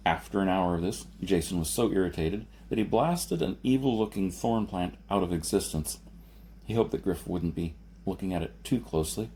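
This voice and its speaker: A man's voice: low-pitched, animated, moderate pace, dark tone, a little hiss or hum. A man reading a science fiction novel aloud as scripted narration, now and then stumbling and correcting himself. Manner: reads with occasional stumbles and self-corrections. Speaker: audiobook reader.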